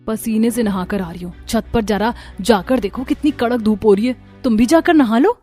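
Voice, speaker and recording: Woman doing young newlywed daughter in law, high voice, grumbling and put upon, cartoon story, music behind. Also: moderate pace, warm tone, natural voice. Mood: complaining. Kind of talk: Hindi animated family story dialogue.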